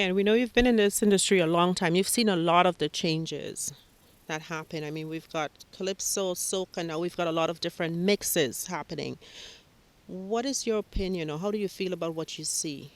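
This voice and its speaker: A woman's voice: fairly low pitch, fairly quick. A woman interviewing a musician, friendly and affirming as she asks open questions.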